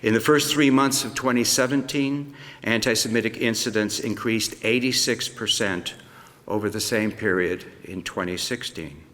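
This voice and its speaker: An older man speaks in a measured way, reading a prepared speech from notes. His voice sits fairly low. A long hall reverb trails after each phrase, the sound of a theatre stage. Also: a bit bright. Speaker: Holocaust survivor speaker.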